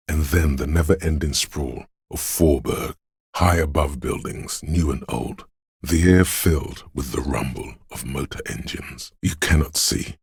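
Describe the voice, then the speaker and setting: A brooding spirit of a city, narrating a video game in hushed, poetic lines. The voice is extraordinarily deep and thick with gravel, recorded close and dry. Every phrase carries slow, ominous weight. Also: male voice, dry recording, full character voice. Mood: brooding, grave, then bleak, hushed. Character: brooding omniscient spirit of a city, an inner sense. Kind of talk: literary game narration in second person.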